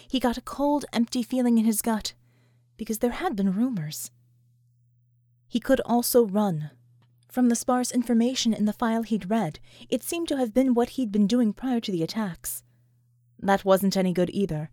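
High-pitched woman narrating fiction, dry sound. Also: warm tone, no echo. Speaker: audiobook narrator.

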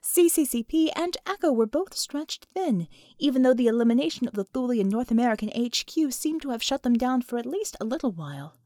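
A woman reading scripted fiction as an audiobook narrator, with expressive, dramatic storytelling. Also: on the high side, fairly quick, no room sound.

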